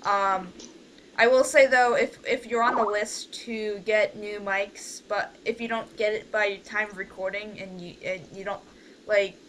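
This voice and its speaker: Female livestream host, bright and forceful, informal and bossy, on a slightly noisy voice call. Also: medium pitch. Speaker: host and leader of an amateur radio play group. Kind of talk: casual livestream chat. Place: online voice call.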